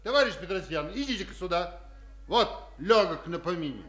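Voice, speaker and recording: A man's voice: high voice, sing-song, fairly quick, a bit bright. An actor playing a fussy, officious but fatherly army doctor in a 1950s Soviet radio play. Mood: stern.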